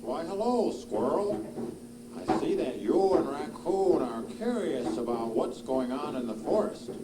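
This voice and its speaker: A man's voice: fairly high pitch, animated, unhurried. A man voicing a wise, kindly old grandfather maple tree in a children's puppet show, explaining genially. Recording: distant, echoing room with loud crowd noise.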